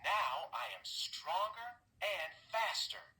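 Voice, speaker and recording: A man reading a children's picture book aloud in the first person, with expressive, animated delivery. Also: high voice, slow pace. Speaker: storybook reader.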